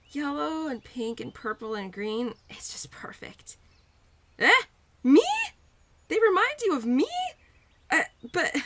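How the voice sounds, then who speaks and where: A woman's voice: on the high side, fairly bright tone, full character voice. A woman playing a bubbly, affectionate young spirit of Spring in a roleplay audio drama, talking to the listener in a gushing, giggly, teasing way. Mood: gushing, fond.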